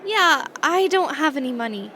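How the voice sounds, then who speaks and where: A woman playing a friendly, slightly shy new high-school girl from space in a comic fan dub. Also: lively intonation, normal speed, bright, forceful tone, a faint crowd, a little hiss or hum. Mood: hesitant, polite. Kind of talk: comic fan dub acting and first-person narration. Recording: voice over prominent background music.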